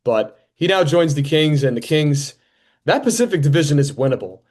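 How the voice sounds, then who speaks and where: A man's voice: on the high side, lively intonation, moderate pace, a little mellow. A young man hosting a podcast, talking sports in a casual, conversational way.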